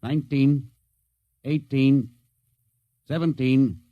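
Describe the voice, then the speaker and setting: An actor playing a commanding, short-tempered rocket ship captain in brisk 1950s radio style. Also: male voice, medium pitch, steady tone, no echo, dull audio, close to an everyday voice. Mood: crisp command. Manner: brisk, authoritative.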